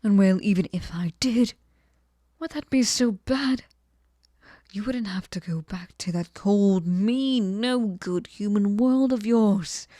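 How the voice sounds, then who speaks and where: Woman as obsessive sweet fairy for ASMR roleplay: soft mellow tone, unhurried, coaxing and whispery, close and dry. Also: medium pitch, no room sound, natural voice. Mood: playful, pressing. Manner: breathy cooing, often dropping into whispers, coaxing. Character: sweet, clingy, possessive female fairy hostess, lonely and obsessive. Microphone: close microphone, dry sound.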